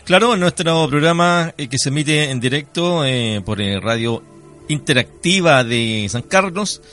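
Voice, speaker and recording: A man's voice: fairly high pitch, expressive, unhurried, fairly bright tone, soft background music. A man with a Chilean accent hosting a live radio interview, addressing his guest in a courteous, formal way. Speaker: radio host.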